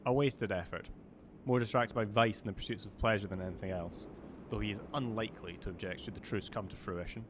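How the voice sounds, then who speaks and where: A man's voice: lowish voice, fairly quick, a little hiss or hum, lightly acted. A man playing a learned, skeptical ancient vampire advisor in an audio drama, formal, dry and probing. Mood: dry, disdainful.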